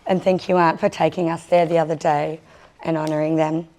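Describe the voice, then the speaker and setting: Give me a husky-voiced woman, slightly low-pitched, nervously opening a conference session. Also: little pitch movement, a little fast. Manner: nervous and warm, with many fillers. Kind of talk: welcome and acknowledgement speech.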